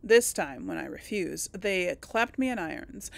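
A woman giving casual let's play commentary and reading game text aloud, with a creaky voice. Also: mid-range voice, big pitch swings, a little slow. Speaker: gaming host. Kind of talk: reads game text and dialogue choices aloud.

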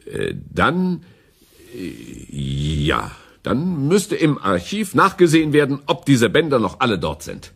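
Gravelly, officious station director. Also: male voice, mid-range voice, huge pitch swings, takes it slowly, a bit bright, full character voice. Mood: uneasy, hesitant. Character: placating, officious male radio station director. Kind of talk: German radio play acting.

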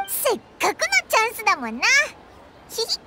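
A woman voicing a tiny, cheeky, loyal fairy companion in a game, squeaky, childlike and boastful. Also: huge pitch swings, normal speed, heavy background noise, heavily acted. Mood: cheerful, cheeky. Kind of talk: Japanese game voice acting.

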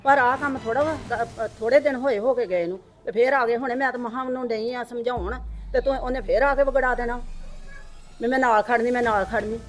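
A woman playing a stubborn middle-aged mother-in-law in a family drama skit, talking in a sharp and dismissive way. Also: fairly high pitch, brisk, lightly acted. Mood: scolding.

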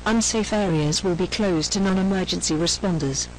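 A woman reading a scripted emergency announcement in a formal, measured, impersonal manner. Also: lowish voice, a little hiss or hum, low-quality recording.

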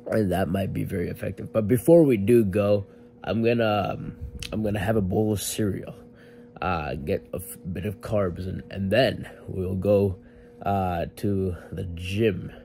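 Young male fitness vlogger, low-ish voice, loose and jokey, talks in stops and starts. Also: unhurried, vocal fry, warm tone, soft background music. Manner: rambling, filler-heavy, self-correcting; laid-back, jokey. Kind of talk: casual vlog talk to camera.

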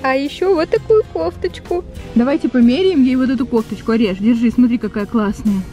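A young woman in her twenties, chatty and lively, talking to viewers and cooing at her cat in a car. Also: high voice, a little fast, faint music behind. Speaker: pet vlogger. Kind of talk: casual vlog talk to viewers. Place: inside a car at night.

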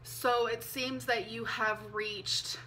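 A woman in her twenties telling a personal story to camera from her bedroom, chatty and animated, with lots of ums and likes. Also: a little high, takes it slowly, slight background noise, poor audio. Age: 20s. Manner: chatty, animated, frequent "um" and "like", hand gestures. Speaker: vlogger. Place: bedroom, indoors. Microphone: camera mic, seated facing camera.

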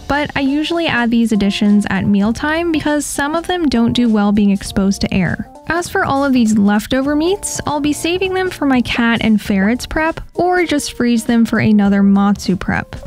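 Female vlog narrator, higher register, scripted instructional voice-over with light music underneath. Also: lively intonation, normal speed, few pauses. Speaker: pet channel host. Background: background music.

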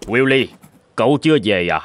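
A man's voice: mid-range voice, lively intonation, normal speed, a bit bright, no echo, close to an everyday voice. Man playing a confiding, friendly office colleague. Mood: businesslike. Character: chatty, encouraging male senior office colleague. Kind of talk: cartoon dubbing.

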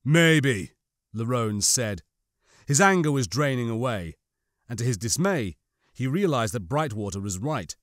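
A man's voice: mid-range voice, lively intonation, moderate pace, no room sound. Male audiobook narrator, middle register, suspenseful and dramatic, recorded dry.

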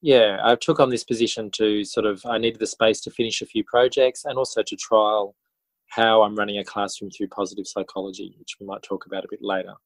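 A man in his forties with an Australian accent, talking as a guest in a casual podcast interview in a halting, reflective way. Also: on the low side, little pitch movement, dry recording, low-quality recording. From country New South Wales, Australia. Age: 40s. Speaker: interview guest, schoolteacher and author.

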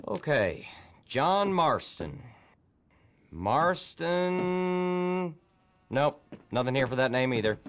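An actor playing a fussy, helpful middle-aged depot clerk in a western video game, halting and muttering to himself. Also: male voice, a little high, very animated, fairly bright tone, full character voice. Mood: absorbed, muttering. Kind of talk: video game character acting.

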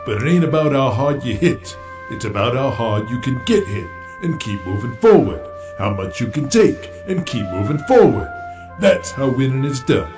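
A man playing a tough veteran wrestler cutting intense promos, with music clearly behind him. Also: medium pitch, big pitch swings, moderate pace, reverberant, lightly acted. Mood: preaching. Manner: intense, swaggering promo delivery. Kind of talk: audio drama acting.

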